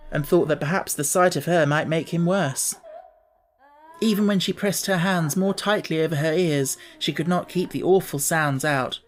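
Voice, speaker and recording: A woman with a slightly low voice reads a children's book. Her delivery is scripted, expressive storytelling, as an audiobook narrator.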